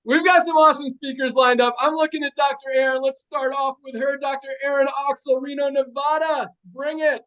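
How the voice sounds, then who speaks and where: A man's voice: extremely high pitch, steady tone, a little fast, hardly any pauses, sharp tone, muffled recording. A man hosting a motivational team video call, rallying the group and introducing speakers with upbeat energy. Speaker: host.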